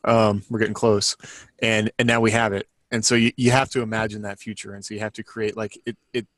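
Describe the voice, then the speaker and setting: Lowish-voiced man, halting, thinking aloud. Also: little pitch movement, a bit bright, dry recording. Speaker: podcast panelist on a book series team. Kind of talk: casual discussion.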